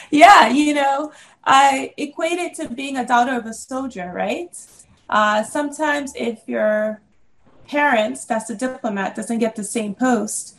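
Middle-aged woman from the congo, bit of vocal fry, chatty and laughing on an interview show. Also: on the high side, slow pace. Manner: animated storyteller, laughs often. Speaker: interview guest, business owner. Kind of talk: casual interview conversation.

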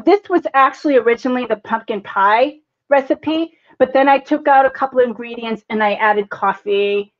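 A woman's voice: on the high side, moderate pace, few pauses. A woman from Boston with a Boston accent, hosting a casual livestream chat. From Boston, United States. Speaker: host. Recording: slightly dull, lo-fi audio.

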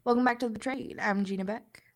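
A woman's voice: fairly high pitch, fairly even intonation, fast. Chatty woman podcast co-host, stuffy cold. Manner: chatty, laughs often between points. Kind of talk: casual podcast chat.

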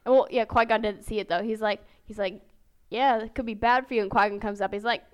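A woman's voice: fairly high pitch, steady tone, fast talker, clear, resonant tone. A woman in a casual podcast fan discussion, who explains things by acting out imagined quotes.

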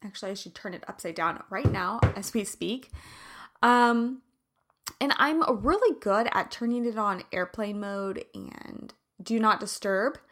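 A woman hosting a casual personal chat, her voice raspy from allergies, chatty and laughing often. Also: medium pitch, a little slow, fairly bright tone.

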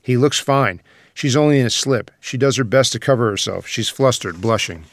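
A man's voice: mid-range voice, moderate pace, no echo, studio quality. A man reading stage directions as scripted narration for a screenplay.

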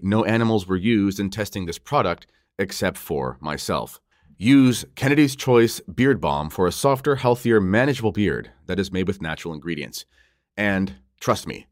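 A man's voice: fairly low pitch, no echo, studio quality. Chatty Canadian man hosting. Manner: chatty, joking, many fillers. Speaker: host. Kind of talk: interview podcast hosting.

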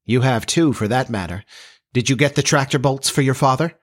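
Storybook farm mom male reader plays her, lifted pitch, no-nonsense, exasperated, scolding, folksy. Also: very animated, moderate pace, husky. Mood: sharp.

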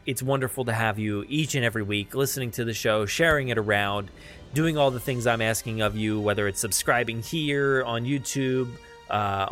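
A man hosting a podcast solo in a casual, rambling and halting way, self-effacing and full of appreciation and enthusiasm. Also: mid-range voice, fairly bright tone. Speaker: podcast host.

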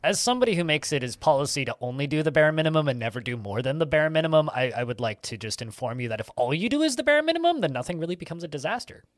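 A man's voice: a little high, very animated, rapid-fire, few pauses, no room sound. A man hosting a podcast in casual banter, teasing in a dry, mock-serious way. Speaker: podcast host.

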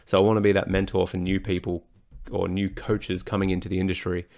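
A hoarse man, talking frankly and swearing casually as he hosts his solo podcast. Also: low-pitched, flat delivery, fairly quick, a little mellow. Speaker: personal trainer and podcast host.

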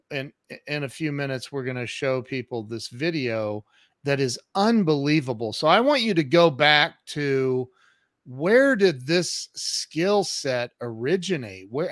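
A man's voice: fairly high pitch, fairly bright tone, dry recording, clean studio sound. A man hosting an interview, effusive and hyping his guest, often repeating himself as he talks. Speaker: host. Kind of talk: casual interview.

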